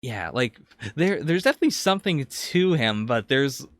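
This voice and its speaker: A man's voice: medium pitch, big pitch swings, moderate pace, fairly bright tone, no room sound. Analytical man in close, dry sound. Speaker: podcast co-host. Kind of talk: casual anime discussion.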